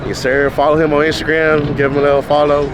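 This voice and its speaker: A hoarse man hosting a casual car meet vlog outdoors, talking in a laid-back way with lots of fillers. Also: on the high side, level tone, fast. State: hoarse, losing his voice. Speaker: vlog host. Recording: loud outdoor event noise. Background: loud music and crowd.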